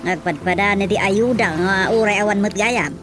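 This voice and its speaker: A woman playing a gossipy, excitable villager in a radio comedy drama, exclamatory, with a Filipino accent. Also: mid-range voice, moderate pace, background noise, close to an everyday voice. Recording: music bed under the voice.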